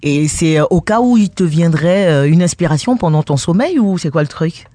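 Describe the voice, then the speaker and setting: A man's voice: on the high side, animated, moderate pace, warm tone, no room sound. A man hosting a casual, chatty interview, asking questions in a teasing, inquisitive way. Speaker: interviewer, radio host.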